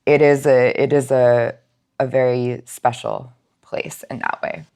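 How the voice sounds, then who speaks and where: Woman, extremely low pitch, podcast guest, informal phrasing, emphatic, casual interview chat. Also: little pitch movement, a little slow, creaky, darkish tone, smooth. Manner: informal, frequent "like", emphatic agreement. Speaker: podcast guest, nonprofit outreach and education manager.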